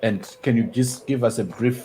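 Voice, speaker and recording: A man's voice: medium pitch, moderate pace, warm tone. Roomy-sounding male interview host. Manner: polite, stammering restarts. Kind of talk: interview questions. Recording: roomy sound with faint noise.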